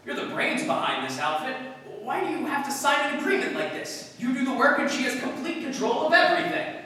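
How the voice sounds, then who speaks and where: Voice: high-pitched, wildly swooping pitch, fairly quick, sharp tone, full character voice. Performer playing an agitated businessman, swooping, echoey. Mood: indignant, insistent. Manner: pleading, insistent. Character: earnest, agitated male colleague in a business drama. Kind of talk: 1940s radio acting. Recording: distant echoey hall, faint noise.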